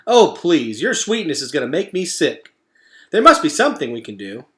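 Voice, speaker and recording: A man's voice: a little high, big pitch swings, moderate pace, pitched above the performer's own voice. A man voicing a blustery, folksy, big-hearted burrowing animal from a storybook, hearty and emphatic. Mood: playful protest.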